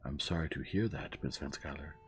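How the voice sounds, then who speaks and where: A man's voice: low voice, lively intonation, unhurried, a little mellow, faint music behind. A man voicing an imperturbable, efficient female nurse with an uninterested, mechanical manner in an audiobook. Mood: unruffled, indifferent. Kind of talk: audiobook character acting.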